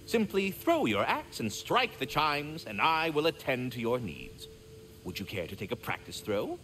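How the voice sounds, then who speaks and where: A man's voice: mid-range voice, sing-song, moderate pace, fairly bright tone, faint music behind. A man voicing a chatty, courtly talking squirrel in a video game, fussy and affable with pompous, theatrical enunciation. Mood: cheerful, instructive. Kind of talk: video game character acting.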